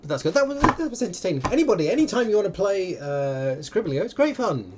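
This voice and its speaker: A man hosting a casual live question session, talking haltingly and often repeating phrases as he goes. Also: high-pitched, very animated. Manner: hesitant, halting, circles back and repeats phrases. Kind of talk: casual unscripted question-and-answer talk. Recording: clearly noisy live stream audio.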